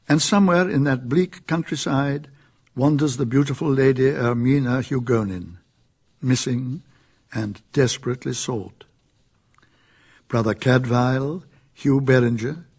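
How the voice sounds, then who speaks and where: A British man narrating a medieval radio drama as a grave storyteller, in a husky voice with stately, measured delivery. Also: medium pitch, a little mellow.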